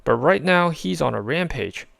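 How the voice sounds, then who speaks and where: A man's voice: fairly high pitch, animated, moderate pace, vocal fry, fairly bright tone, no echo, clean studio sound, voice pushed up, heavily acted. A man voicing a small, wisecracking, impatient raccoon with a sarcastic, punchy delivery in a storybook. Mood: urgent.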